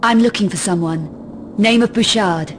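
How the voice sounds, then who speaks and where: A woman's voice: mid-range voice, a little slow, a little hiss or hum, close to an everyday voice. A woman playing a self-assured, threatening young adventurer in a video game, speaking in a cool, dry, sardonic way. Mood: businesslike. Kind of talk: video game cutscene voice acting.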